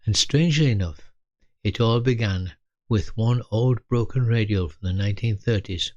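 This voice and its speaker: An elderly man in his eighties narrates a reflective, scripted memoir in measured, deliberate short phrases. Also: on the low side, darkish tone, no room sound, lo-fi sound. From Britain. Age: 80s. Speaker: storyteller recalling his youth.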